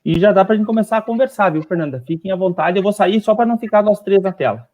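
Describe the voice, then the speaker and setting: A man speaks casually as co-host while he starts a live stream. His voice sits a bit high, and he talks in a quick, offhand way. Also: darkish tone. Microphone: video call.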